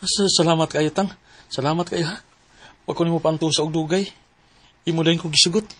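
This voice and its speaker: An actor playing an ardent, coaxing young suitor in a radio drama, with a Cebuano accent. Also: male voice, fairly high pitch, fairly even intonation, fairly quick, a bit bright. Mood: ardent, grateful. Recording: music bed under radio drama voices. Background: music.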